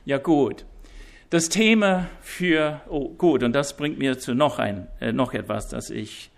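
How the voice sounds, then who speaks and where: A man with an English-speaking accent, a guest preacher opening a sermon in halting speech full of fillers and restarts, with dry self-deprecating humor. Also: mid-range voice, gravelly. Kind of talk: sermon introduction. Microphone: wireless mic.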